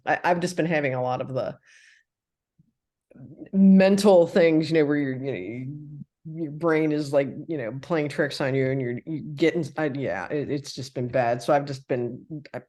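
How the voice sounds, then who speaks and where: A man's voice: on the high side, normal speed, darkish tone. A man in his sixties from the Midwest, hosting a casual interview with a hesitant, filler-heavy way of talking. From American Midwest. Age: 60s. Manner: friendly, hesitant, many fillers and trailing sentences. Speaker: podcast host.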